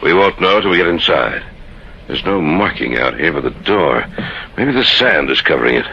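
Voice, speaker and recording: A man's voice: a bass voice, big pitch swings, normal speed, creaky, full character voice. A man acting an assured, cautious archaeologist leading a dig in an old-time radio drama. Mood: businesslike. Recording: dull old radio recording, faint noise.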